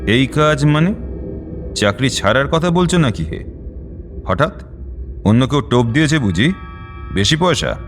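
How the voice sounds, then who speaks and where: A man's voice: mid-range voice, big pitch swings, a little slow, long pauses, faint music behind. A man playing a domineering, menacing contractor boss in an audio drama, sarcastic and commanding. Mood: mocking, suspicious. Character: domineering male contractor boss, threatening and sneering.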